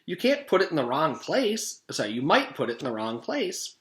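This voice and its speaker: A man voicing a chirpy, fair-minded woman schoolteacher, brisk and encouraging with her young pupils in a storybook. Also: a little high, huge pitch swings, a little hiss or hum, pitched above the performer's own voice. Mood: puzzled. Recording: echoey room.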